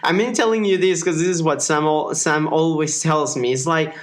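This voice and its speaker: Chatty young Mexican-born man, higher voice. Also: moderate pace. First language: Spanish. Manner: rambling, filler-heavy, playful. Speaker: podcast co-host. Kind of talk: casual chat.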